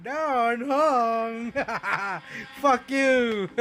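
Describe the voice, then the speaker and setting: A man livestreaming a video game with casual, excitable, exclamatory reactions. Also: very high-pitched, very slow. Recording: game music behind the voice. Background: video game music.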